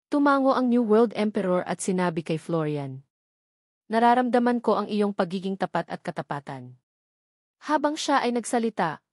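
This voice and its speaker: A woman narrates a scripted story in a medium-pitched voice. The recording is very dry, with no room around the words. She reads as an audiobook narrator. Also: darkish tone, dry recording.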